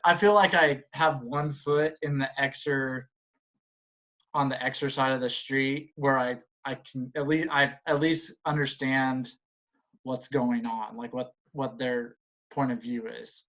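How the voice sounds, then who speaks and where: Guy late 30s, mid pitch, casual, keeps stopping and restarting his sentences. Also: fairly even intonation, a bit bright. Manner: halting, restarts sentences often. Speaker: returning panel guest. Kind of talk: casual chat.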